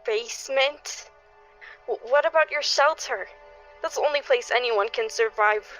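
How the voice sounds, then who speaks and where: A woman playing a desperate, pleading neighbour and parent in a radio play, with halting, stammering delivery. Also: fairly high pitch, a bit bright, faint music behind, rough recording. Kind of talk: dramatic radio play acting.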